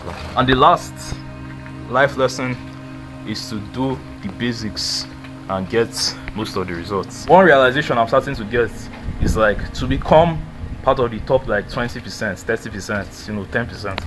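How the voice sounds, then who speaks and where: A twenty-year-old man giving casual life advice in a rambling, slangy way, full of "like" and "you know". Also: mid-range voice. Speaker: vlogger.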